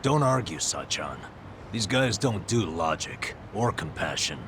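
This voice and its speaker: Yakuza hero, game dub: blunt, good-hearted, very low and unhurried. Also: male voice, big pitch swings, noisy background. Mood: defiant, resigned. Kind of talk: English video game dub acting.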